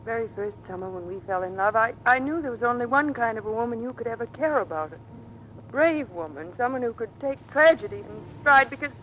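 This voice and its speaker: A troubled wife of a naval skipper in an old radio drama. She speaks with earnest, halting emotion. The recording is noisy, with engine rumble behind her. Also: expressive, a bit bright, muffled recording. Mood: anguished, confessional. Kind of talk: vintage American radio drama acting.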